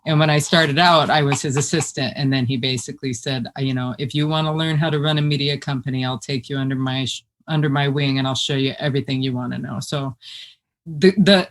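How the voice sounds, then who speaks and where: Deep-voiced woman telling animated stories. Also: fairly quick. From United States. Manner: animated storyteller who laughs often. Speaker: guest. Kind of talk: casual conversation.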